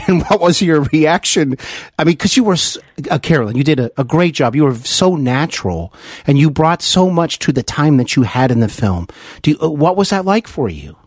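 A man's voice: on the high side, lively intonation, breathy edge, dry recording. Effusive male interviewer, dry sound. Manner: effusive, halting delivery with repeated words. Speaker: interview host. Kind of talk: conversational interview.

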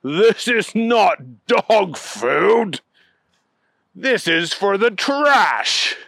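A man's voice: on the high side, animated, takes it slowly, fairly bright tone, no room sound, studio quality, full character voice. A man voicing a pompous, easily disgusted alien space dog general in a children's story, talking in self-important, indignant declarations. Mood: scornful.

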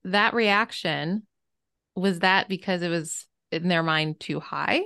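A woman's voice: fairly low pitch, takes it slowly, creaky, pushed and bright, clear, resonant tone, no echo, studio quality. A woman hosting a podcast who breaks in with short clarifying questions. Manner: interjects brief clarifying questions. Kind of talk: casual podcast conversation.

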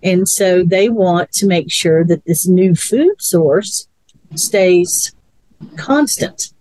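A woman's voice: fairly low pitch, drawn-out words, warm tone, poor audio. A woman, co-hosting a casual chat in a musing way and often repeating words while she thinks. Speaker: co-host.

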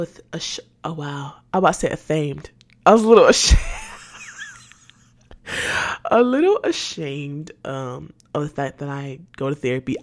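Young woman, 19, podcast host. Low-pitched, very lively intonation, candid and chatty. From Atlanta, Georgia. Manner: chatty and candid, quick laughs, trails off and restarts. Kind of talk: casual solo podcast chat.

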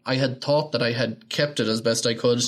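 A young Irish man from Galway chatting easily on a podcast, with a voice of middling pitch. He tells long anecdotes and slips into raised voices when he quotes other people. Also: fairly even intonation, a little fast. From Ireland. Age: 20s. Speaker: podcast co-host. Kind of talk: casual podcast chat.

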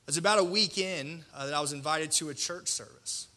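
A man in his thirties preaching a personal testimony in a colloquial, self-deprecating way, with dramatic pauses and a slight stutter. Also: on the high side, pushed and bright. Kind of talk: personal testimony sermon to an audience.

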